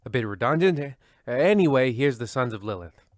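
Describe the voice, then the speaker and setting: A man's voice: medium pitch, animated, a little fast, no echo, clean studio sound, close to an everyday voice. A man playing a pompous, fussy radio horror host, delivering a theatrical, mock-grave monologue in an old-time style.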